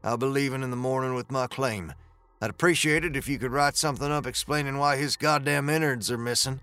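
A man's voice: mid-range voice, a little fast, bright, forceful tone, smooth, no echo, soft background music. A man voicing a stern, blunt former Texas Ranger with a protective streak in a western audiobook. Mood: stern, fed up. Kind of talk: western audiobook character acting.

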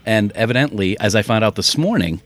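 Excitable man, low voice, chatty co-host. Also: brisk. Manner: excitable, laughs easily. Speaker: podcast co-host. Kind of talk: casual chat. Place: hotel room at a convention.

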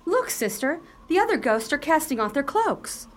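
Woman playing a high, swooping, nervous sister. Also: very animated, moderate pace. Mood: frightened. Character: nervous, excitable sister of a widow. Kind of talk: radio play acting. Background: music.

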